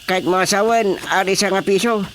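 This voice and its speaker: A woman's voice: medium pitch, fairly quick, close to an everyday voice. A woman playing a nervous, flustered village captain in a radio comedy, stammering and exclaiming anxiously. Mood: eager. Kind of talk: radio comedy drama acting. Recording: faint noise behind the voice.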